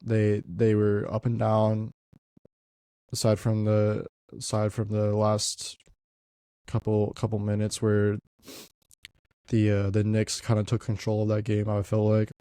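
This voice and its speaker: A man's voice: fairly low pitch, monotone, measured pace, pauses often, dark tone, smooth, no echo, clean studio sound. A young man hosting a podcast, talking casually about basketball, congested and stuffy, often repeating words as he goes. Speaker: podcast host.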